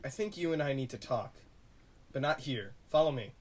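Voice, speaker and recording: A male actor plays a secretive man in an audio drama. His pitch moves around a lot as he talks, hesitant and grave. He comes across as guarded, like someone giving a warning. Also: lively intonation, normal speed, close to an everyday voice.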